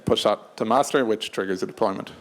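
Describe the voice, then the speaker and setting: A man speaking unscripted on a discussion panel, hesitant and halting, often restarting his phrases. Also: fairly low pitch. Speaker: panelist from a tech company.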